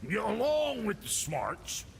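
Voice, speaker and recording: A man voicing a gruff, foul-mouthed, irritable dwarf blacksmith in a video game, crude, sarcastic and grumbling. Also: high-pitched, huge pitch swings, slow, exaggerated voice. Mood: grudging, scornful. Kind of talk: video game character acting. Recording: long echoing reverb, faint background noise.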